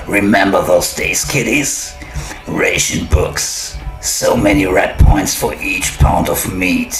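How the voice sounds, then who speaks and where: An actor playing a gleefully ghoulish old storyteller who narrates a horror audio drama in a macabre, mock-sinister manner. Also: male voice, low voice, fairly even intonation, takes it slowly, creaky, full character voice. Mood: wry. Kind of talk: theatrical horror anthology narration. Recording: roomy echo, distant, loud music underneath.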